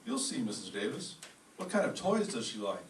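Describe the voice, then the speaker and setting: A man playing a brisk, courteous department store owner in a radio comedy. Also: mid-range voice, huge pitch swings. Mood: eager, friendly. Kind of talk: old-time radio comedy acting. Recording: echoey room, distant mic, faint noise.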